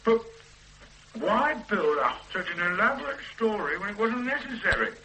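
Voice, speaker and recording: A preoccupied police detective, blunt and brooding. His tone is bright and moves up and down a lot. Faint noise lies under him. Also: high voice, sing-song, normal speed, heavily acted, poor audio. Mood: puzzling. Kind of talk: 1980s radio drama acting.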